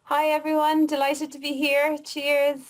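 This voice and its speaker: Female interview guest, forties, Limerick Irish accent, fairly high voice, casual personal storytelling. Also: monotone, unhurried. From Limerick, Ireland. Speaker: interview guest, wellness coach.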